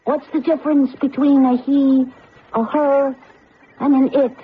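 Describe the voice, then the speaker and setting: A woman's voice: high voice, little pitch movement, unhurried. A woman playing an insistent, childlike computer with feelings, questioning naively in a radio drama. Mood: naive, curious. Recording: muffled narrowband radio, faint noise.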